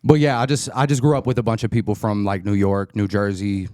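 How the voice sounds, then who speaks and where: A man's voice: fairly low pitch, level tone, quick pace, darkish tone, no room sound, clean studio sound. A man from Florida hosting a podcast, chatting casually, hesitant and repeating words when put on the spot. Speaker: podcast host.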